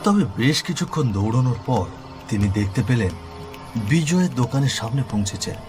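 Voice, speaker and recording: A man's voice: medium pitch, animated, a little fast. A man giving scripted narration of a horror story. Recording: music behind the voice.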